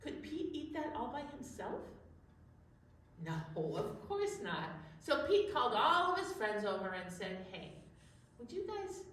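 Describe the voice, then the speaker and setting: A woman hosting a storytime for young children, talking in an exaggerated, animated way as if to little listeners. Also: a little high, a little slow. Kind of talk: read-aloud storytime presentation with songs. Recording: roomy echo, distant mic, faint noise.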